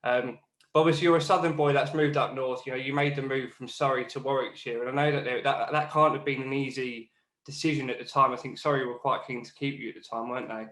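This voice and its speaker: A man's voice: mid-range voice, fairly even intonation, quick pace, a bit bright, roomy sound. A Geordie man hosting a podcast, chatting in a casual, matey way and asking leading questions. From Newcastle, England. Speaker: podcast host. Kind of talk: casual interview.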